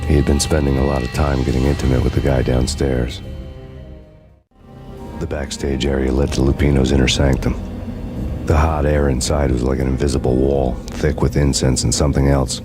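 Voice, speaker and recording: Extremely deep deadpan American noir avenger. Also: male voice, normal speed. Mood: brooding, deadpan. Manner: deadpan, sardonic. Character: brooding, world-weary male noir avenger narrating his grim story. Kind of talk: hardboiled noir scripted narration. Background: loud music.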